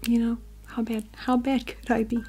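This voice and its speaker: An American woman speaking in a soft, breathy near-whisper, chatting casually and hesitantly in an ASMR style close to a sensitive microphone. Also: on the high side, level tone, moderate pace, faint music behind. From United States. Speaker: ASMR video host. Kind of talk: ASMR, casual unboxing chat.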